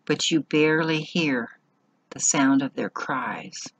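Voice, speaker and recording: Female meditation guide, slow and husky, measured instructional phrasing with generous pauses. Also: low-pitched.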